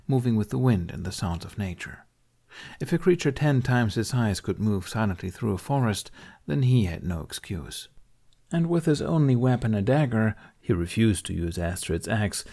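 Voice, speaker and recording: A man's voice: lowish voice, warm tone. A man reading scripted fiction as an audiobook narrator.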